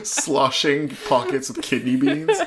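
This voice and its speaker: A woman's voice: very deep voice, wildly swooping pitch, takes it slowly, a crowd in the background. A woman co-hosting a podcast, reacting casually in an incredulous, joking way. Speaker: podcast co-host and listener.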